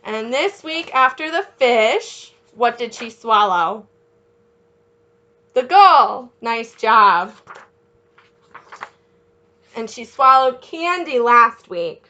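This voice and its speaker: A woman reading a picture book aloud as a children's teacher, encouraging young listeners with questions and pausing for their answers. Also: on the high side, lively intonation, pushed and bright.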